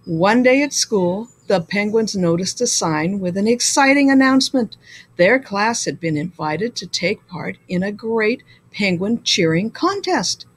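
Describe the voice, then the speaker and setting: A woman reading a children's story aloud like a school librarian, with deliberate, expressive delivery. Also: medium pitch, slightly rough, rough recording.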